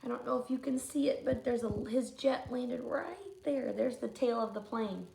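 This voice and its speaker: A woman reading a picture book aloud to children like a teacher, adding expressive, chatty comments. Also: on the high side, moderate pace, creaky, echoey room, slight background noise, low-quality recording. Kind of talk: storybook read-aloud with asides to children.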